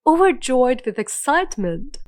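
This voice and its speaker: A woman tells a scripted children's story with dramatic, clear phrasing. Her voice is on the high side and very animated in pitch. The recording is dry and close. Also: normal speed, dry recording.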